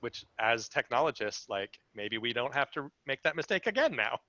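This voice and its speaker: A man's voice: mid-range voice, sing-song, moderate pace, pushed and bright, no room sound, highs cut off. A man on a webinar panel, a technologist, musing reflectively and passing on audience comments. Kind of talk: casual panel discussion on a video call.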